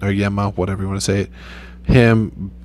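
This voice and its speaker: A young man casually talking over his mobile gameplay in a rambling, laid-back way, with a flat, low-key voice and loose swearing. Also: fairly quick, slight background noise. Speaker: gaming YouTuber.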